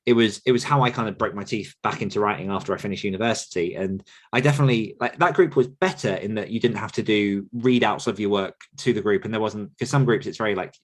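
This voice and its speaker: A man's voice: on the low side, fast, hardly any pauses. A man hosting a casual podcast interview, talking in an encouraging, conversational way. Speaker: podcast host and writing coach.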